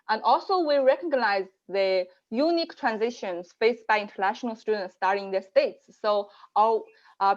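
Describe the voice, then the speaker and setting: A woman's voice: fairly high pitch, lo-fi sound. Woman hosting an online info session. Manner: measured, with frequent "uh" fillers. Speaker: global programs manager hosting an info session. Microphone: video call.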